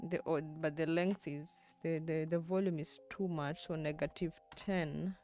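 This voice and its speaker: A young woman in her twenties, live-streaming as she edits and chatting with viewers, thinking aloud with soft laughs. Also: deep voice, steady tone, measured pace, faint music behind. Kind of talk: livestream, talking to chat while editing.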